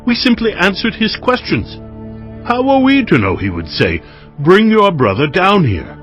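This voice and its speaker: An actor playing a troubled, anxious brother in a dramatized audio Bible, speaking earnestly and emotively. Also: male voice, sits high, huge pitch swings, a little slow, a bit bright, heavily acted. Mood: earnest, defensive. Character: troubled, anxious Hebrew brother, a traveling shepherd. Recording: music behind the voice.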